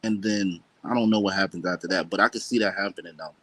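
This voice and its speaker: A man's voice: deep voice, fairly even intonation, fast talker, fairly bright tone, dry recording. A man co-hosting a casual wrestling talk show, easygoing and slangy. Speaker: co-host.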